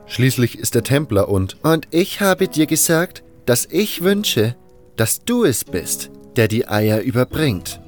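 An actor playing a demanding camp boss who speaks in a formal, authoritative way. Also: male voice, mid-range voice, very animated, slow, faint music behind. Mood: stern, insistent.

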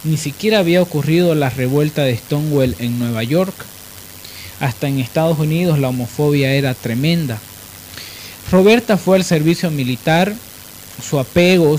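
Un hombre con acento boliviano que conduce un programa de radio hablado, contando biografías de manera informal y corrigiéndose al hablar. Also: male voice, on the high side, a little mellow, rough recording. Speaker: radio show host. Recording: clear background hiss.

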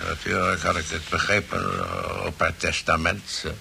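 A man's voice: deep voice, little pitch movement, normal speed, vocal fry, sharp tone, full character voice. A man playing a tipsy, sly notary in an old Dutch radio comedy, muddled and repeating himself, with a Dutch accent. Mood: sly, teasing. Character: tipsy notary, sly rival suitor, amiably stubborn. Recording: old hissy radio recording.

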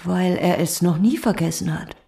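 Anxious, soft-toned mother. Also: on the low side, normal speed, gravelly. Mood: defensive, unsure. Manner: hesitant, plain-spoken. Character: anxious, hesitant mother of a missing teenager. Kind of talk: radio drama acting.

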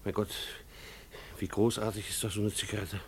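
An actor playing a harried, middle-aged schoolteacher husband in a German radio play, with dry, formal, hesitant phrasing. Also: male voice, fairly low pitch, level tone, fairly quick, gravelly, a little mellow, slight background noise. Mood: relieved, tender. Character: harried, wry husband, a schoolteacher and family father.

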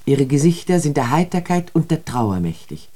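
An actor playing a critical, reflective observer of city life in a radio drama, with earnest, deliberate rhetorical phrasing. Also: medium pitch, big pitch swings, mellow. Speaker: radio play speaker. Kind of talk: literary German radio drama monologue.

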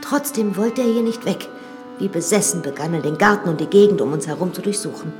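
An actress playing a frank, self-assured young widowed lady in a radio drama, telling things in a measured, confiding way. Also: on the low side, natural voice. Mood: wistful, confiding. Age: young adult. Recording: studio drama with reverb and music.

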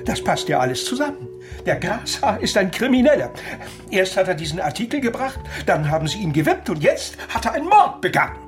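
An actor playing an educated older man under suspicion in a radio crime drama, measured and well-tempered with an ironic edge. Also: high-pitched, big pitch swings, over music. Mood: indignant, sarcastic. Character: older educated male suspect, indignant and ironic.

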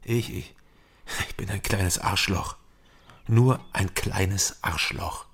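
An actor playing a weary, self-deprecating East German investigator in a radio crime drama, speaking and narrating in a dry, ironic, deliberate way. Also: male voice, low-pitched, a bit bright, slightly rough, full character voice. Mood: defiant irony.

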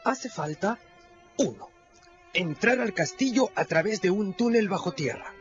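A man's voice: high voice, lively intonation, measured pace, soft background music. An actor playing an imaginative, adventurous boy who loves grand plans, speaking theatrically and eagerly in a radio drama. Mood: scheming. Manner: theatrical, eager, bossy planner. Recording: dull lo-fi radio recording.

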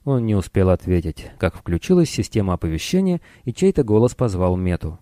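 Low, mellow male audiobook narrator. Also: very animated, brisk, no room sound, clean studio sound. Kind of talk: scripted narration of a novel.

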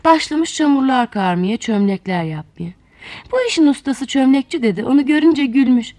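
A woman's voice: high-pitched, moderate pace, dry recording. A woman storyteller narrating a fairy tale expressively, speaking directly to children. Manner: animated, addresses child listeners directly.

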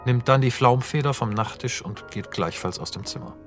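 Guy narrating a radio play, medium pitch, matter of fact scene directions, bit of music under it. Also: normal speed.

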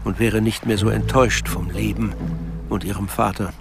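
A man's voice: lowish voice, level tone, normal speed. A man narrating a literary radio drama from a script. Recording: loud music behind the voice.

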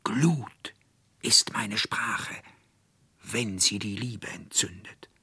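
A man voicing a tender, yearning enchanted nature spirit with slow, breathy, incantatory whispering in a storybook reading. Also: fairly low pitch, pushed and bright, no echo, heavily acted. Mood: ardent, hushed.

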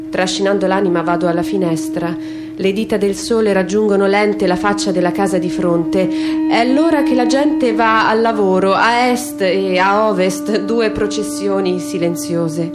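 A woman performs a literary text as radio theatre. Her delivery is measured and declamatory, with dramatic pauses, at a medium pitch. Instrumental music plays clearly behind her. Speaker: stage reader and performer. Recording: music under the voice.

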